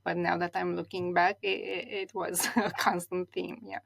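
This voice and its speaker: A woman's voice: on the low side, little pitch movement, normal speed, vocal fry. A woman in her thirties from Romania, a non-native speaker whose first language is Romanian, talking reflectively as an interview guest with frequent restarts and repeated words. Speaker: guest, stylist.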